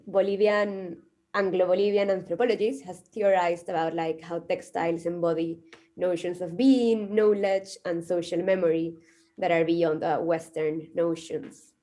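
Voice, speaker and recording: A woman's voice: medium pitch, warm tone. A woman from the coast, giving a thoughtful academic presentation and hedging often. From Peru, coast. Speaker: conference speaker.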